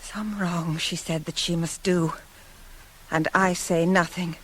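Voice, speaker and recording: Slow, solemn Greek attendant. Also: female voice, fairly low pitch, steady tone, slight background noise, rough recording. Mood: mournful. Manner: grave, measured, deliberate. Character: solemn female attendant lamenting for her king. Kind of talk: 1980s radio play, classical tragic verse acting.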